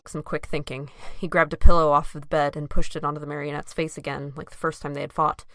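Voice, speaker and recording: A woman's voice: sits low, level tone, fast, a bit bright, no echo, studio quality. A woman reading scripted story narration for an audio drama.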